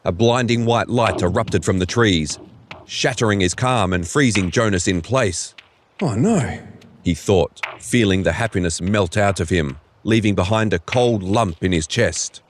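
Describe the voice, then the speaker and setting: Male narrator, lowish pitch, scripted storytelling, audio drama, faint ambient noise behind. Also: normal speed.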